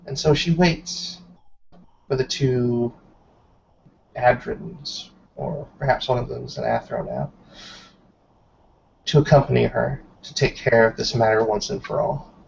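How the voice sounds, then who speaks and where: A man narrating a tabletop roleplay session as game master, dramatic and deliberate, with storyteller pauses. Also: mid-range voice, expressive, gravelly, a little mellow. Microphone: online group voice call. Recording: muffled online call with background noise.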